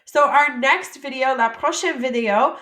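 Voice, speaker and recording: A woman from the United States teaching French vocabulary, saying each word slowly and then explaining it in casual English. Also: sits high, sharp tone, roomy sound. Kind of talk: vocabulary lesson.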